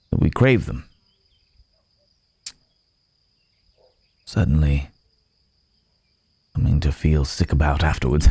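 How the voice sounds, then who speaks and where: A man's voice: very deep voice, gravelly, warm tone, husky, no echo, full character voice. A man playing a weary, courteous cursed werewolf in an ASMR roleplay, speaking in formal, old-fashioned phrases with pensive hesitation. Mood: musing, wry.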